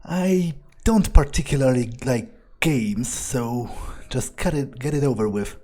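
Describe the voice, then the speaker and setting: A man voicing a weary, threatening vampire as in-character video game roleplay, dry and deliberate in manner, with a breathy voice. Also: medium pitch, warm tone, lightly acted. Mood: cool menace. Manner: deliberate, dry, menacing, swears. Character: brooding, threatening male vampire, weary and impatient.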